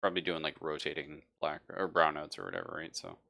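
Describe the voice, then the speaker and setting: Low, bright-voiced male game master. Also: lively intonation, fast, vocal fry. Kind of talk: casual tabletop game narration. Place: live online game session.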